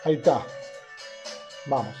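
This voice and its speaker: A man's voice: medium pitch, measured pace. A man hosting a live online interview, chatting casually and informally over a video call. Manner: informal, colloquial. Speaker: host of an online wine interview series. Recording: muffled video-call audio with music.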